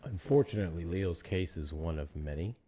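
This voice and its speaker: Male streamer, low-pitched, casual political chat, animated, repetitive. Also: fairly quick. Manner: animated, repeats himself, thanks viewers for gifts. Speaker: livestream host. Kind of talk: casual live-stream commentary.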